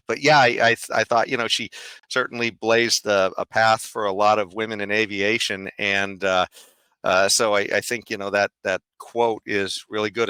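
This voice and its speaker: A hoarse man hosting a podcast panel, talking in a rambling way with many fillers. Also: on the low side, bright, forceful tone, no room sound. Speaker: podcast host. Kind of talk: casual panel chat.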